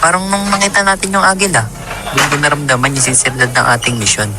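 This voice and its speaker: A man's voice: on the high side, quick pace, very noisy, lightly acted. A man playing a sincere, eager village man in a radio drama. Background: music.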